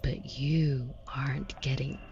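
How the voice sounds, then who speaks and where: A woman acts out a seductive female demon in an audio roleplay, taunting and condescending. Her low voice moves very slowly and deliberately, breathy and slipping into whispers. She sounds flirty and quietly menacing, over noisy ambience. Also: level tone, lo-fi sound, full character voice. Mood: coaxing, menacing. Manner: teasing, condescending, slow deliberate delivery dropping into whispers.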